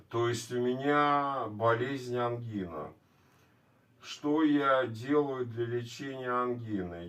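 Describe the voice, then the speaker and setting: A man vlogging casually to his viewers with a sore, scratchy throat, speaking in a halting, repetitive, plain way. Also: mid-range voice, a bit bright. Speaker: video blogger. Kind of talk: casual vlog talk to viewers. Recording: distant microphone.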